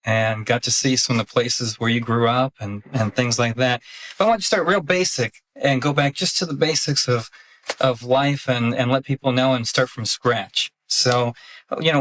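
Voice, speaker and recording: A man's voice: medium pitch, fairly bright tone, low-quality recording. A man interviewing a guest, earnestly leading into questions about her life. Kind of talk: casual interview.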